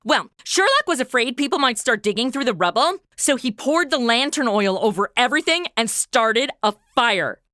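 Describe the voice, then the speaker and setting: Brash, teasing young comedy heroine, high-pitched, swinging intonation, exclamatory. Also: female voice, very animated, normal speed, few pauses, bright, forceful tone, dry recording, studio quality. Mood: brash, chatty. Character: brash, excitable, wisecracking young woman. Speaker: show's lead and host. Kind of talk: comedy audio drama acting and narration.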